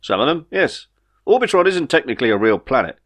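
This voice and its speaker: A man's voice: medium pitch, very animated, fairly quick, a bit bright, voice pushed up. A man voicing an easygoing, know-it-all spaceship pilot in an audiobook, chatty and reassuring. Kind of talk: audiobook character dialogue.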